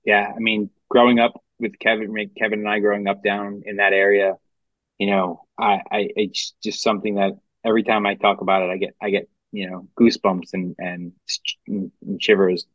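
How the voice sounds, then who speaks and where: A man with a low voice talks casually as a podcast co-host. His delivery is halting and full of fillers. The audio is dull and dry, lacking top end. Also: level tone, no echo. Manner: halting, frequent "you know". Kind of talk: casual chat. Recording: dull, band-limited audio.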